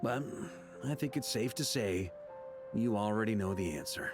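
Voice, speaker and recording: A man's voice: on the low side, lively intonation, moderate pace, over music, lightly acted. A man playing an easygoing, jovial knight grandmaster in a video game, with a breezy, joking, theatrical manner. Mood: earnest. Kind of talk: scripted video game voice acting.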